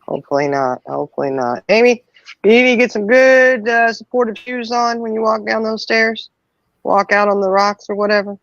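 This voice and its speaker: An older woman with a gravelly, creaky voice, chatting in a folksy, sympathetic manner. The audio is dull and lo-fi, like a call line. It sounds dry, with no room echo. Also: on the high side, normal speed. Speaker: co-host. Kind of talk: casual chat.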